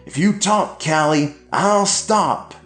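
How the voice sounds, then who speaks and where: A man voicing an angry, abusive middle-aged father who coaxes and then shouts. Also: mid-range voice, big pitch swings, takes it slowly, pitched above the performer's own voice. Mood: menacing. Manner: shouting, coaxing then cruel. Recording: echoey room, faint music.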